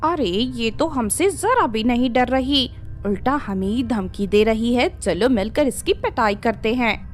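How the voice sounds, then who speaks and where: A woman's voice: on the high side, lively intonation, measured pace, faint music behind. A woman voicing a spoiled, bullying village girl in a cartoon story, threatening and bossy. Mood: menacing.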